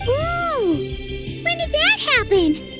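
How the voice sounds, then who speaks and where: An actress voicing a tiny excitable female fairy companion in a game, given to squeaky, jumpy exclamations. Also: slow, over loud music, exaggerated voice. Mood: startled. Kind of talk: game voice acting.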